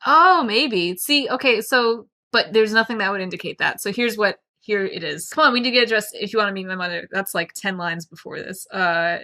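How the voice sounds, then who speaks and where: Woman, bright forceful voice, podcast host, animated, opinionated, casual chatty delivery. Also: medium pitch, brisk, hardly any pauses. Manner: animated, opinionated, peppers talk with "like". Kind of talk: casual book-discussion podcast.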